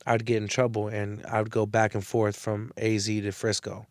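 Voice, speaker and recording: A man's voice: on the low side, little pitch movement, moderate pace, dry recording. A man from the San Francisco Bay Area, a guest in a casual interview, laid-back and slangy, swearing casually. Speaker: guest, rapper and entrepreneur.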